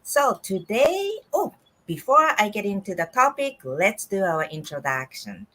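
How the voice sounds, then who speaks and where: A middle-aged woman from Japan hosting a casual online business chat, friendly and unhurried, with frequent fillers. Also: medium pitch, very animated, a bit bright. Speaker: host.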